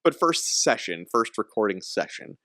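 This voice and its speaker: Low-voiced young man hosting a podcast. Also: sing-song, moderate pace, sharp tone, no echo, clean studio sound. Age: young adult. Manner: rambling, laughs often, many restarts. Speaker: podcast host. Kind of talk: casual podcast chat.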